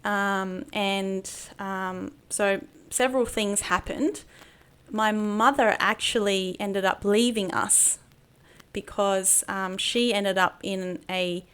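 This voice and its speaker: A middle-aged woman, a podcast guest and business coach, recounting her past in a halting way with many fillers and restarts. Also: medium pitch, fairly even intonation, a bit bright, clear, resonant tone. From Australia. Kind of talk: personal interview storytelling.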